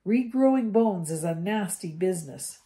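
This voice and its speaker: A woman voicing a fussy, stern older female school nurse who scolds and shouts. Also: animated, moderate pace, lightly acted. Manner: scolding, shrill when shouting.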